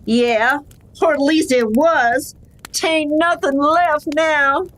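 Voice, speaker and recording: A woman as high-voiced wounded frontier rancher. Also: fairly bright tone. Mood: wary, bitter. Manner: strained, drawn-out, folksy. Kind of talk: western radio drama acting.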